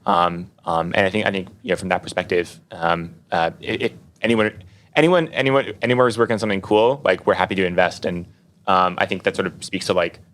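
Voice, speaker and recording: A man with a low voice talks very quickly in a casual panel discussion. He is an investor who doubles back on words and fills gaps with "you know". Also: fairly even intonation, a bit bright. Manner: hesitant, repeats words, says "you know" often.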